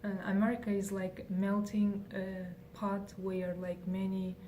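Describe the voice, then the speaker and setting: A woman's voice: mid-range voice, flat delivery, a little slow, mellow. A young woman from Kazakhstan, whose first language is Kazakh, answering interview questions in English with many fillers and restarts. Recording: faint noise, distant from mic.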